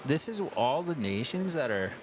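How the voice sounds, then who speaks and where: An American man hosting a livestream from a sports event in a casual, halting way, pausing often mid-sentence. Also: medium pitch, sing-song, rough recording. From United States. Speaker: livestream host at an ice hockey tournament. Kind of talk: casual live chat. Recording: clear urban ambient noise, live on location.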